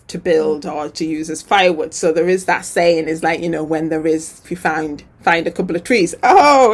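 A woman hosting a podcast interview, chatty and enthusiastic, with lots of fillers. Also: fairly low pitch. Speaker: podcast host. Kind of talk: casual interview chat.

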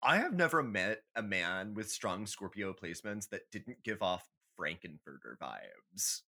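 A man's voice: lowish voice, very animated, measured pace, gravelly, pushed and bright. A man co-hosting a casual comedy podcast, chatting in a catty, theatrical and emphatic way with plenty of swearing. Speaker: podcast co-host.

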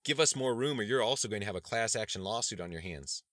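Man acting a brash young male activist, forceful and raised. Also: lowish voice, lively intonation, fast talker, no room sound, clean studio sound, voice pushed up. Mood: indignant, demanding. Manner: demanding, confrontational. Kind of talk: acted audiobook dialogue.

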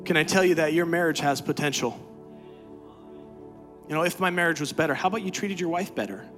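A male pastor preaching in a church, rising from hushed, low lines to loud, climbing shouts of exhortation. His voice sits a little high for a man, and soft music plays under him. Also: a little fast. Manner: builds to loud, high-pitched preaching then drops low and quiet. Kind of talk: sermon. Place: church sanctuary.

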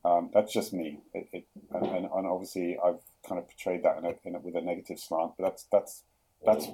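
A middle-aged man with a London English accent, speaking in a low, very dark and mellow voice. He talks casually in a podcast interview, hesitating often and circling back with fillers as he gathers his thoughts. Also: level tone, brisk, slightly rough, rough recording. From England. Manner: hesitant, many fillers and restarts. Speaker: guest, product executive.

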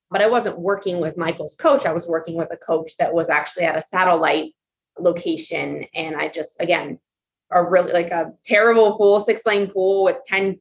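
A woman's voice: on the low side, poor audio. A woman, a competitive swimmer, answering interview questions in a reflective, earnest way with frequent "you know" and "I mean".

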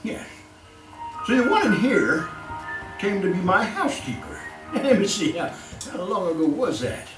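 An actor playing a boastful, cunning mad serial killer in old-time radio horror style, with a chuckling, halting, sinister delivery. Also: male voice, high-pitched, very animated. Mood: gloating, sly. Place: live theatre stage performance. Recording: echoey live stage recording, distant mic, music.

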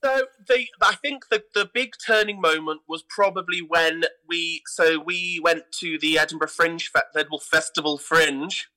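Bright, high-voiced English man telling stories. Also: normal speed, few pauses, poor audio. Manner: emphatic, repeats words for emphasis. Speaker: theatre company artistic director, interview guest. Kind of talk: animated anecdotal interview talk.

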